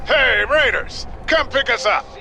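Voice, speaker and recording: An actor playing a desperate stranded soldier in a video game, shouting frantically for rescue. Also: male voice, extremely high pitch, expressive, measured pace, over loud music. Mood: pleading. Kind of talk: video game unit barks.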